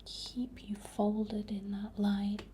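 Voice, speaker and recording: Softly whispering British woman, mellow and breathy. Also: medium pitch, monotone, extremely slow, slight background noise. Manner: soothing, reassuring. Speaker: ASMR host, spiritual healer. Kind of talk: ASMR, guided healing ritual.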